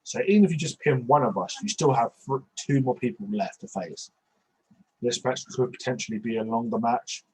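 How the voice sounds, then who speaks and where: A man's voice: medium pitch, brisk, a little mellow, slight background noise, rough recording. A man, hosting a podcast over a video call from a small bedroom on a headset, rambling with many restarts. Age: 20s. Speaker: podcast host. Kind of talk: casual video-call chat.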